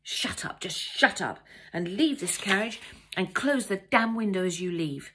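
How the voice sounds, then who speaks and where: A woman's voice: lowish voice, animated, brisk, vocal fry, noisy background. A woman voicing a grieving, curt young woman in an audiobook, clipped and vehement. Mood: furious. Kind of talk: audiobook character dialogue.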